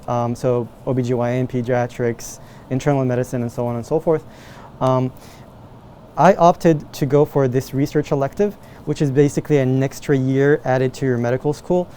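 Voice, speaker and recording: Young man from Morocco, mellow tone, halting answers with fillers, faint noise behind. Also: medium pitch. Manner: hesitant, many fillers and restarts. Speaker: medical student researcher. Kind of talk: interview answers, casual.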